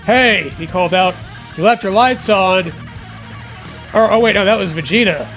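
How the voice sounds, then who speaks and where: A man's voice: high voice, moderate pace, fairly bright tone, soft background music. A man giving a comedic, mock-dramatic reading aloud as co-host, with profane banter.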